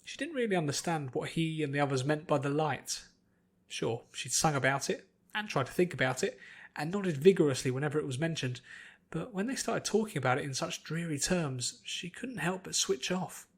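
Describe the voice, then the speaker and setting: A man's voice: on the high side, expressive, moderate pace, breathy edge. A man reading scripted fiction aloud as an audiobook narrator.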